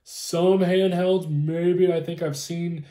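A man's voice: high-pitched, little pitch movement, slow pace, warm tone. A man in his thirties chatting casually and informatively as he explains things as a co-host, recorded in a room at home. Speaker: co-host explaining ham radio. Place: small room at home, indoors. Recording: roomy, echoey home room.

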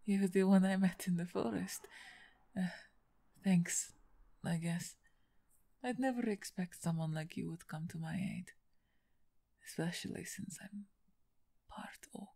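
A woman playing a big, gentle, injured half-orc in an ASMR roleplay, speaking softly and hesitantly with a breathy, husky tone. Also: fairly low pitch. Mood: weary, hesitant. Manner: hesitant, soft-spoken, halting with pauses.

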